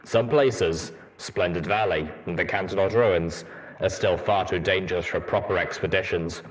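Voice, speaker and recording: A man voicing a blunt, world-weary armored pony soldier in a measured, matter-of-fact way for a storybook reading. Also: low-pitched, steady tone, close to an everyday voice, poor audio. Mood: grave, matter-of-fact.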